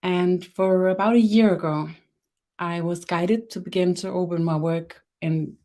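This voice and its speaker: Slow, mellow-voiced Danish woman. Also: on the low side, steady tone. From Denmark. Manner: slow and measured, halting with fillers and restarts; soft, guiding instructions. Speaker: webinar host, energy worker. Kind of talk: live-streamed webinar with guided body meditation.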